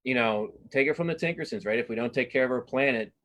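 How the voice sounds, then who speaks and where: Middle-aged male, mid-pitched, casual interview guest. Earnest, halting delivery with frequent self-corrections. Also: a bit bright. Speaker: cartoonist being interviewed.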